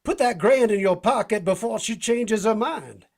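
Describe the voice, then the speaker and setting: A man's voice: high-pitched, moderate pace, creaky. A man playing a folksy, slangy American sidekick in a 1940s-style radio drama. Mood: eager. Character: folksy, eager tough-guy sidekick called Doc.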